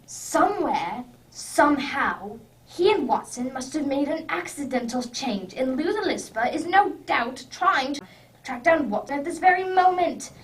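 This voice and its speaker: A commanding gentleman detective from a radio play, acted by a woman, heard a little off the mic. The voice is high and very varied in pitch, with grave, decisive deductions. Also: animated, measured pace. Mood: urgent. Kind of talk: radio drama acting. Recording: distant microphone.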